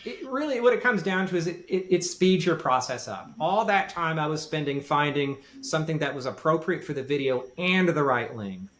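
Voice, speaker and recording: A man's voice: a little high, lively intonation, normal speed, few pauses, fairly bright tone, a little hiss or hum. A man talking casually and explaining in detail, often repeating and rephrasing for emphasis. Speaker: podcast guest with a music background. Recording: roomy, echoey sound.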